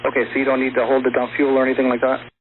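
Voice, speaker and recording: Quick-talking male air traffic controller on radio. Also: medium pitch, monotone. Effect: aviation radio transmission. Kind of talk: procedural radio instructions. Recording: narrow-band, staticky air traffic radio. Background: faint radio noise.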